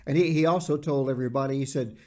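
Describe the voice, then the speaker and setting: A man co-hosting a podcast, talking in an earnest, halting way and repeating words for emphasis. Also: medium pitch, mellow. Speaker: podcast co-host. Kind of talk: conversational podcast discussion.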